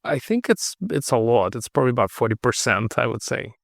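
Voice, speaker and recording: A middle-aged man with a Russian accent talks things through in a measured, thoughtful way, restarting his phrases as he searches for the right words. His mid-pitched voice has a gravelly edge. The sound is dry and close. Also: no room sound. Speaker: podcast guest. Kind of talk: casual interview conversation.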